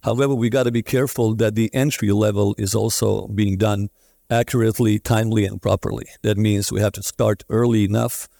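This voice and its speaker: A man speaking as a football federation technical director on a conference panel, formal and deliberate, with fillers. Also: lowish voice, fairly even intonation, gravelly, mellow, no echo, studio quality. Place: conference stage.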